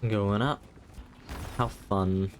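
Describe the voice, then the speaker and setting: A man's voice: fairly low pitch, fairly even intonation, very slow, slight background noise. A man doing casual live video game commentary, thinking aloud with playful exclamations. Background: game music.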